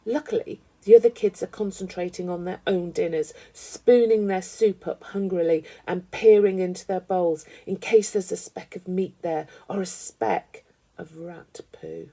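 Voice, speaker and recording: A woman reading a children's story aloud with animated, dramatic emphasis. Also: fairly low pitch, a little slow. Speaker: storybook reader.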